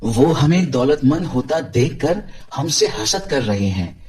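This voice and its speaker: A man voicing a greedy milkman husband in an animated cartoon, grumbling and complaining. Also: mid-range voice, measured pace.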